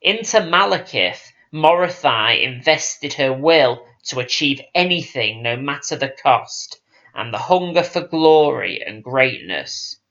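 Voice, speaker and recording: A man reading a fantasy audiobook as scripted dramatic narration, in a solemn, measured storytelling manner. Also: mid-range voice, expressive, pushed and bright, dull audio. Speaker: audiobook reader.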